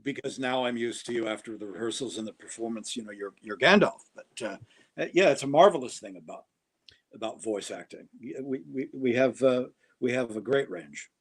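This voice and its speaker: A man with a slightly husky voice speaks at a middling pitch. He chats casually and tosses in quick asides. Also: poor audio. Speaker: actor guest. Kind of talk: casual conversation over a call.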